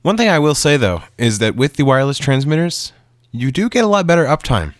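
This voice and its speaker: A man's voice: medium pitch, sing-song, brisk, dry recording, studio quality. A man giving a casual, conversational explanation to viewers in the manner of a hobbyist video creator.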